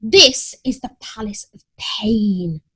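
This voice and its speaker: A woman's voice: medium pitch, takes it slowly, sharp tone, husky, full character voice. A woman voicing an overdramatic, frightened young pet cat who exclaims theatrically in a children's story. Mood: panicked.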